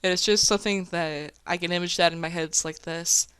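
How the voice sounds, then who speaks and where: A woman's voice: on the low side, steady tone, brisk, a bit bright, clear, resonant tone, no room sound. A woman chatting casually as an amateur voice actor hosting a discussion. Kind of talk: casual chat.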